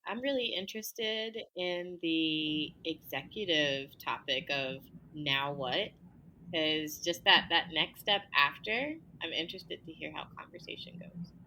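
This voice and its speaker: A lively woman co-hosts a podcast, her voice bright and sharp. She chats casually and agrees readily with her co-host. A faint hiss runs under the recording. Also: deep voice, rough recording. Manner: lively, quick to laugh and agree.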